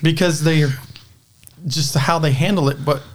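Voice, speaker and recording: Rambling, venting dad on a podcast. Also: male voice, a little high, fairly even intonation, unhurried, slight background noise. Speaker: podcast co-host, parent. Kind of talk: casual chat.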